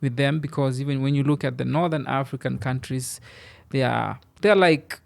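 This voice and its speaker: A man's voice: medium pitch, normal speed, creaky. A man talking as a guest on a podcast in a studio, rambling loosely and packing his speech with "you know" and "I mean.". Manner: rambling, filler-heavy, many "you know"s. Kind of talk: casual interview chat.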